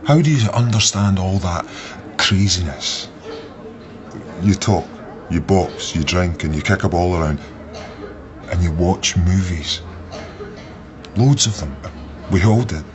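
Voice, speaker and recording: An actor in a radio drama playing an intense, wry young ex-army officer with a Glasgow accent. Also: male voice, deep voice, lively intonation, slow pace, pauses often. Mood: intense, sardonic. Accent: Glasgow Scottish. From Glasgow, Scotland. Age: 30s. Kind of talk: naturalistic radio drama acting. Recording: muffled sound, busy crowd behind.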